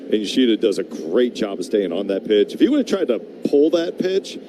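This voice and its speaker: A man's voice: fairly low pitch, very animated, fairly quick, noisy background. An American man giving chatty, emphatic color commentary on a live baseball broadcast, in an informal conversational way. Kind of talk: conversational live sports analysis and banter.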